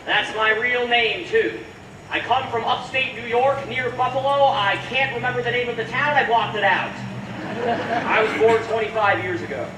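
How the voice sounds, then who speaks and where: Breezy wry young dancer , man actor, very high voice, echoey distant stage. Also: moderate pace. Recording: echoey stage from far off, noisy.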